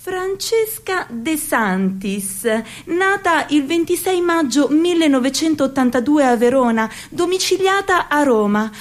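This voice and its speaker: A young waitress in a radio play, overqualified and fed up, serving her lines with measured sarcasm. Her voice sits high and light for a woman. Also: lively intonation. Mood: sardonic. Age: 30s. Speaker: actress in a radio theatre piece. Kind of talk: theatrical monologue.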